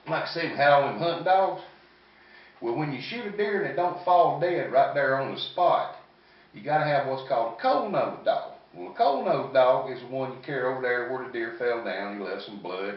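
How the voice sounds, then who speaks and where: A man's voice: medium pitch, expressive, moderate pace. A man in his fifties with a Southern US accent doing stand-up storytelling in a folksy, rambling, salty-tongued way. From American South. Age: 50s. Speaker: comedian. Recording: echoey, distant, muffled room recording.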